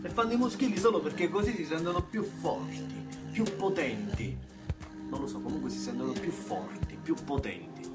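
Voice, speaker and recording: Man acting bitter small-town young man, Campanian accent, highish voice, stage monologue, colloquial, emphatic, prominent plucked guitar behind. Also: expressive, moderate pace, far from the mic, lightly acted. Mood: matter-of-fact, bitter. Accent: southern Italian, Campanian. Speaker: stage actor. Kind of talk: theatrical monologue, first-person narration. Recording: music behind the voice. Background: plucked guitar music.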